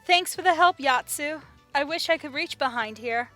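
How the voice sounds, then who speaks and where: A woman playing a sweet, gentle, cheerful young woman in a cartoon comic dub. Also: high voice, normal speed, soft background music. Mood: grateful.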